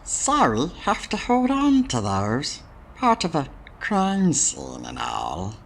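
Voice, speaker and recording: A woman's voice: deep voice, huge pitch swings, slow, gravelly, slight background noise, full character voice. A woman voicing an evasive, smugly dismissive space station authority in a noir adventure game, drawing out words with condescension. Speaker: video game character. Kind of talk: noir point-and-click game voice acting.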